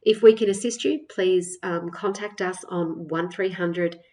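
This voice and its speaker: Low-pitched woman presenting a software tutorial. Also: measured pace. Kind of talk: screen-share product walkthrough, semi-scripted narration.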